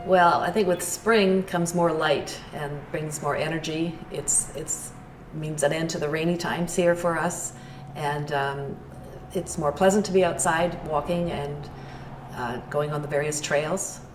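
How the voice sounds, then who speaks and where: Older woman, low-voiced and searching for words, interviewed off-mic in a roomy space over background music. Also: fairly even intonation, distant mic. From Edmonton, Canada. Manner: thoughtful, unhurried, searching for words. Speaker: arts venue owner. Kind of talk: interview answers.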